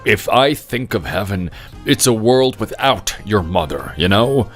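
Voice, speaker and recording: A man's voice: lowish voice, sing-song, measured pace. A man plays a tired old father near death in an audio drama. He confides in a resigned, plaintive way, bitter yet at peace. Faint music plays behind him. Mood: weary, resigned. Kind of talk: dramatic dialogue.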